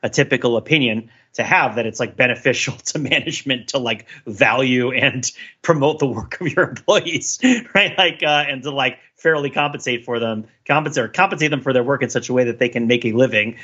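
Guy podcasting casually, bright punchy voice, rambly and rewording himself, kinda muffled audio. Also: mid-range voice, breathy edge. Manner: halting, digressive, self-correcting. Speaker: podcast co-host. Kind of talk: casual pop culture discussion.

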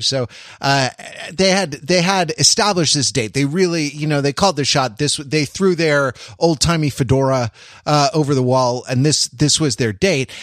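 An American man co-hosting a podcast in casual analytical discussion, wordy and intellectual, often restarting his sentences haltingly. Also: medium pitch, fairly bright tone, no echo, clean studio sound. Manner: hesitant, halting delivery with repeated false starts. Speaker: podcast co-host.

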